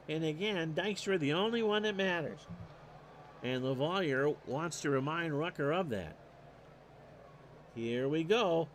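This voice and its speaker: A man calls a replayed baseball game on his own, in a high voice and at an easy, unhurried pace. He works through stats with dry little asides and turns loud and excited when the ball is in play. Faint street noise hums behind him. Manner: stat-heavy, dry asides, rising excitement on big plays. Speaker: play-by-play announcer. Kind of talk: solo replay commentary streamed live.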